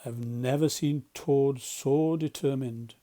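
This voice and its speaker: Storybook badger, played by a man: stern, solemn, authoritative, emphatic, mellow timbre. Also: medium pitch, fairly even intonation, takes it slowly, close to an everyday voice. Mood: weary, grave. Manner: emphatic, grave, scolding. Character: stern, solemn male badger, commanding elder friend.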